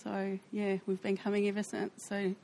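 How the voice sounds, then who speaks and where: Soft-spoken New Zealand woman. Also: mid-range voice, monotone, moderate pace, gravelly. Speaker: church member sharing testimony. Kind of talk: personal testimony interview.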